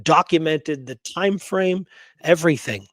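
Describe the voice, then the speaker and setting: A man's voice: a little high, a little slow, a bit bright, dry recording, studio quality. A man hosting a history show, talking through research casually and emphatically, repeating points for stress.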